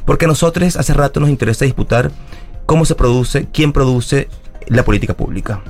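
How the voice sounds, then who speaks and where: A guest from Venezuela, speaking earnestly in long explanatory runs with small restarts during a radio interview. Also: male voice, mid-range voice, a little fast, faint music behind. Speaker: guest, academic secretary of a school. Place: radio studio.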